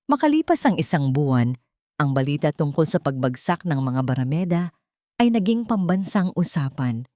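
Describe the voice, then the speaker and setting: Woman, storyteller, low-pitched voice, dry close recording, scripted dramatic narration, expressive emphasis. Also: dry recording.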